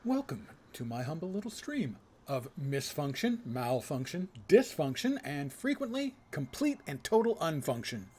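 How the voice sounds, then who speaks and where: A man's voice: a little high, big pitch swings, moderate pace, darkish tone, a little hiss or hum. A man live-streaming a video game, commenting casually and reading quests aloud with wry, self-deprecating, wordy humour.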